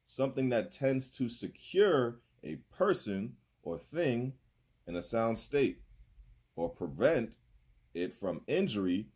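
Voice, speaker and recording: A man's voice: mid-range voice, very animated, slow, darkish tone, slightly rough. A man in his thirties preaching a sermon from a small room into a phone, conversational and laughing easily, often asking "right?". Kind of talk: casual sermon over a video call. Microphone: phone held close.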